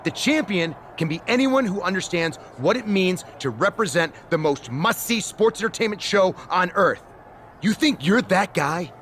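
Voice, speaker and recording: A man's voice: high-pitched, animated, moderate pace, fairly bright tone, slight background noise. A man playing a smug, theatrical wrestling general manager in a video game cutscene, with a pompous, sarcastic showman's delivery. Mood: smug, condescending.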